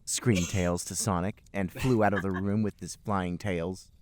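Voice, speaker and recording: A man's voice: sits low, fairly even intonation, a little slow, a little mellow, slight background noise. A man hosting a podcast, reading fan fiction aloud for comedy. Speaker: podcast host and reader.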